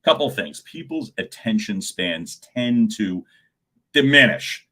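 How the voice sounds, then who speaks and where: Loud, animated middle-aged male coach, bright forceful voice, talks straight to a live audience. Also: slow. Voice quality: loud speaking voice. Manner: animated, swings pitch and volume, chuckles often. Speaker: communication coach hosting a live stream. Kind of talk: live-streamed coaching talk.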